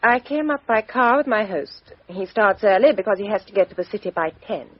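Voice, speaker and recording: Expressive, candid young British woman. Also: mid-range voice, a little fast, slightly rough, dry recording. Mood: composed. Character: frank, self-possessed young British woman. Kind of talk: radio drama acting. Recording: dull, lo-fi radio drama recording.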